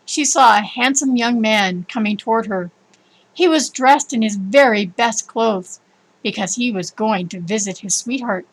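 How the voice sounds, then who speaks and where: A woman with a fairly high-pitched voice reads a scripted story. Her delivery is expressive and emphatic, in the manner of storybook narration. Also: low-quality recording. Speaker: storybook reader.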